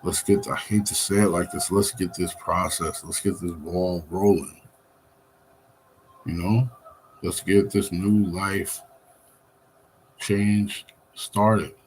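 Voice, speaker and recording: A man's voice: low voice, steady tone, takes it slowly, pauses often, darkish tone, slight background noise, low-quality recording. A man about fifty, rambling on a live stream, weary and venting with plenty of swearing. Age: 50s. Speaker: livestreamer.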